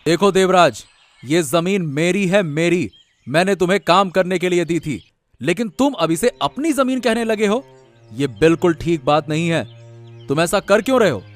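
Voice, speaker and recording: Cartoon landowner, played by a man: high-pitched, scolding, indignant, emphatic, music underneath. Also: animated, moderate pace. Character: indignant wronged village landowner. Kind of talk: Hindi moral-story cartoon dubbing.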